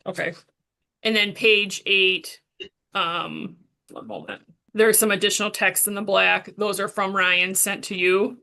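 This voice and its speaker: Woman, prosecuting attorney, bright mid-pitched voice, formal procedural courtroom questioning. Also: steady tone, measured pace, gravelly.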